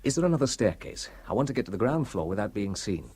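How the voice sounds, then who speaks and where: An actor playing a bold, curious amateur sleuth who speaks briskly and eagerly. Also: male voice, on the low side, very animated, dark tone. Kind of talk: 1970s radio drama acting.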